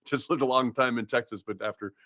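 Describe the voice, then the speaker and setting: A man's voice: medium pitch, fairly even intonation, fast, sharp tone, no echo, clean studio sound. A man from Massachusetts hosting a live webinar, chatty and upbeat, with lots of fillers and restarts. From Massachusetts, USA. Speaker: webinar host.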